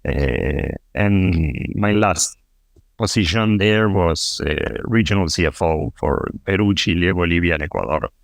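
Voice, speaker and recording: A man from Argentina, a fintech co-founder, answering interview questions in a halting way with many restarts and fillers. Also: sits low, animated, creaky, no echo, clean studio sound. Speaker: podcast guest, fintech co-founder.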